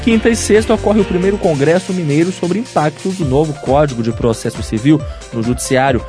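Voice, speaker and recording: A man reads a university radio news bulletin from a script. His delivery is clear, steady and announcer-like, with faint music behind him. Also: a little high, brisk.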